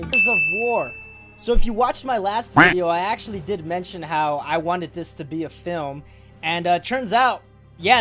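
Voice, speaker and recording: A man narrating a scripted countdown of film news, with casual asides. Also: sits high. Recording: loud music under the voice. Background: music.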